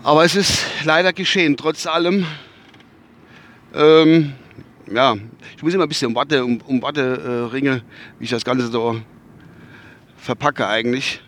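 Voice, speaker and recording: A man's voice: mid-range voice, a little slow, long pauses, a bit bright. A man with a Westphalian accent hosting his own podcast from inside his car while driving, rambling, groping for words and swearing casually. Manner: rambling, searching for words, many fillers and restarts. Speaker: podcast host. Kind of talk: casual spoken podcast monologue.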